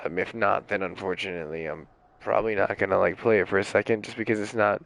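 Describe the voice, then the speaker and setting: A young man streaming games while sick, with a raspy, hoarse voice, rambling in casual chat full of fillers and swearing. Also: fairly low pitch, steady tone, quick pace, fairly bright tone. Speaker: game streamer.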